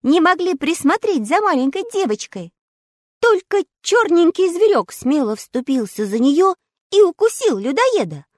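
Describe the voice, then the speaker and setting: A woman voicing a small female squirrel in a storybook reading, squeaky and scolding. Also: sing-song, unhurried, no echo, studio quality, exaggerated voice. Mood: mocking. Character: mocking chattering female squirrel.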